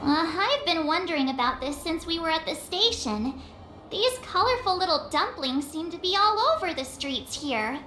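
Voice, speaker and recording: Playful young traveler girl for anime game: high, bright, curious, chatty, slightly roomy sound. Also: moderate pace, slight background noise, exaggerated voice. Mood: bubbly curiosity. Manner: animated, chatty. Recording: roomy game audio with light music.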